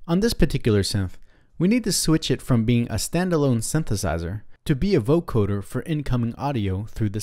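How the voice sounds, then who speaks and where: A man's voice: medium pitch, mellow. A man narrating a scripted instructional tutorial in a methodical, step-by-step way.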